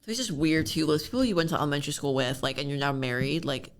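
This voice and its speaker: A woman in her early twenties with a deep, gravelly voice. She co-hosts a casual podcast, speaking bluntly with frequent swearing and lots of "like.". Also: animated, fast. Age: 20s. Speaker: podcast co-host. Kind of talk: casual chat.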